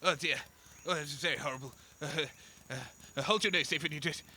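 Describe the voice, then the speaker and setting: A man playing an excitable, squeamish, grumbling sidekick in a comedy audio drama, flustered and repeating himself. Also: fairly high pitch, fast talker, slightly rough, heavily acted. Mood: disgusted.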